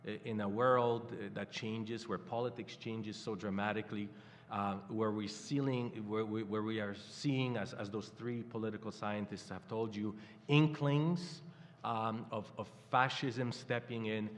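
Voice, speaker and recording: An older man from Poland with a Polish accent, speaking haltingly as a guest at a live panel. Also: on the low side, steady tone. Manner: halting, many fillers and restarts. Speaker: campaign guest. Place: stage in a hall before a live audience. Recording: hall reverb.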